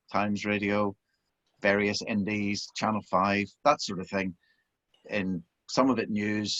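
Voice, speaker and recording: A middle-aged man with a Belfast accent chats in a relaxed, self-deprecating way, often restarting his sentences. His voice sits on the low side. The sound is dry and a little dull, like a remote call. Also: no echo. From Northern Ireland. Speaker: author and former broadcast journalist. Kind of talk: relaxed interview chat.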